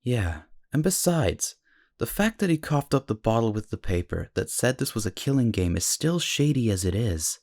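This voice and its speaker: A man voicing an earnest, caring, easily exasperated young protagonist in an anime-style audio drama, slightly husky. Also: medium pitch, big pitch swings, moderate pace, a little mellow, dry recording, clean studio sound. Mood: indignant.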